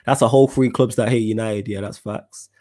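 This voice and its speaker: A man's voice: fairly low pitch, fairly even intonation, a little fast, darkish tone. A young man with a Mancunian accent hosting a casual football podcast, chatting in slang and answering viewer comments. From Manchester, England. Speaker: podcast host.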